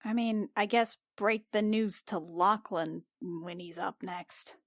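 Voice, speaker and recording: A woman's voice: medium pitch, slow, dry recording, studio quality. A woman playing a tabletop roleplaying game, chatting casually and narrating what her character does.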